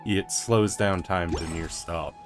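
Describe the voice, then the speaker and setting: A man giving casual, low-key gameplay commentary, musing to himself as he plays through a story quest. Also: slow pace. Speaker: gamer playing a story quest. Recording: loud game music behind voice. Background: game music.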